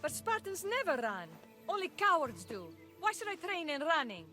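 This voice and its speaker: Game role: high-voiced young Spartan woman, bold and questioning, faint music underneath. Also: very animated, normal speed, pushed and bright, full character voice. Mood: defiant. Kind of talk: scripted video game cutscene acting.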